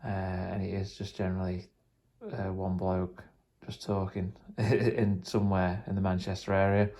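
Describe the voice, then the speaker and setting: A man's voice: deep voice, level tone, a little slow, mellow. A man explaining things casually and tentatively in an unscripted, rambling vlog. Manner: tentative, self-deprecating, rambling. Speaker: vlogger, hobbyist trying out radio gear.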